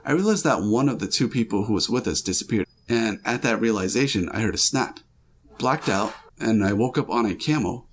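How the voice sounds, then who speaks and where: A man's voice: on the low side, animated, brisk. A man reading a listener's dream aloud as a first-person story, with small slips and restarts.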